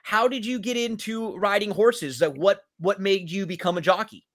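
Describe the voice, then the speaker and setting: A man's voice: high voice, moderate pace, fairly bright tone. A man hosting a sports interview show in a conversational, chummy way. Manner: chummy, addresses guest as buddy.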